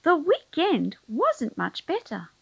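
Slow, animated woman storyteller. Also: high-pitched, gravelly, no room sound, lo-fi sound. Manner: expressive, drawn-out emphasis on key words. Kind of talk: children's picture book read-aloud.

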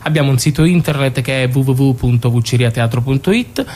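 A man's voice: medium pitch, a little fast, a little mellow. Man chatting as a theatre interview guest. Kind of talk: conversational radio interview.

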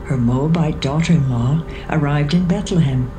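A woman narrating scripture from a script in a measured storytelling manner. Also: deep voice, dark tone, slight background noise, low-quality recording. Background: music.

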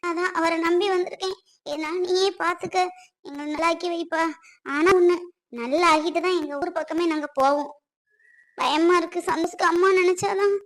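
A young adult woman playing a frightened young woman hiding in a rented room, with a timid, pleading way of talking. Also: extremely high pitch. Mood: anxious, prayerful. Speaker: young woman who ran away from home with her partner.

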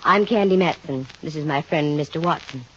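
A woman's voice: deep voice, fairly quick, a bit bright, slight background noise. An actress playing a wry female private investigator in an old radio detective drama, with a dry, wisecracking manner. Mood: brisk, frank. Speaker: lead actress and narrator. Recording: muffled old 1950 radio recording.

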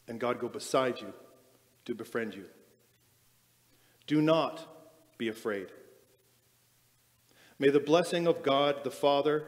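Slow-paced man giving an echoey benediction. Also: medium pitch. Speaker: church leader giving benediction. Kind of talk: benediction in short phrases. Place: large church sanctuary, at a lectern. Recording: echoey church hall, long reverb.

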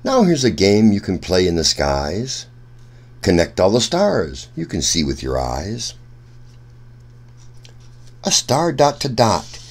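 A man reading a rhyming children's picture book aloud in an expressive, sing-song storyteller's way. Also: fairly low pitch, takes it slowly, long pauses. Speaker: children's story reader, host.

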